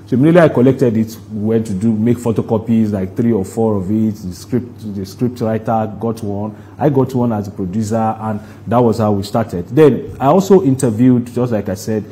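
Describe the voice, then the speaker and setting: A man's voice: fairly low pitch, dark tone, slight background noise. A man with a Nigerian accent speaking as a producer in an interview, recounting at length and repeating words for emphasis. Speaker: interview guest, stage play producer.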